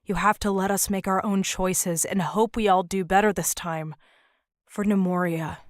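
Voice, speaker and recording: A woman's voice: mid-range voice, steady tone, normal speed, no room sound, studio quality, lightly acted. A woman playing a guarded, principled human negotiator in an audio drama, candid and matter-of-fact. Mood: firm.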